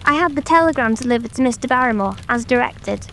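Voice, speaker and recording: A woman voicing a testy, defensive male village postmaster in an audiobook drama, with a brisk manner. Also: on the high side, animated, a bit bright, slight background noise.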